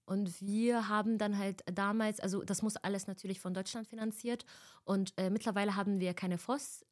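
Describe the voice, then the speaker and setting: A woman's voice: medium pitch, fairly even intonation, moderate pace, a little mellow. Chatty young woman from Egypt. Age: 20s. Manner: chatty, many fillers, laughs often. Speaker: interviewee, student. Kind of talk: casual interview chat.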